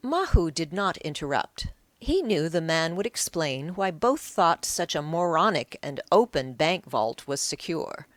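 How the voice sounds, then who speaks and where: A woman's voice: low voice, very animated, a little slow, no echo. A woman narrating an audiobook in a dramatic, scripted storytelling style.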